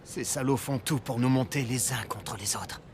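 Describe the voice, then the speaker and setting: A man playing a bitter, resolute townsman in a French animated drama dub. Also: medium pitch, moderate pace, noisy background, close to an everyday voice. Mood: grim resolve.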